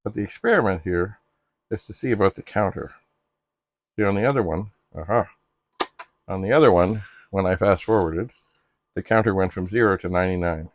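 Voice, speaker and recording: Deep, gravelly middle-aged man, musing aloud. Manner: halting, musing aloud to himself and the gear. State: frequent coughing and throat clearing. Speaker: retro computer hobbyist and video host. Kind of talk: casual hands-on demonstration narration.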